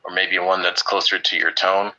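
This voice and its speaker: A man with a deep voice teaching an online English class, upbeat and teasing with his students. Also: little pitch movement, normal speed, sharp tone, muffled recording.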